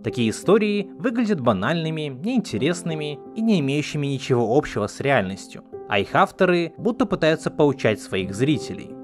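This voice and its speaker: Man, video essay narrator, scripted explanatory delivery, didactic, animated intonation, mid-range pitch, faint background music. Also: brisk, few pauses.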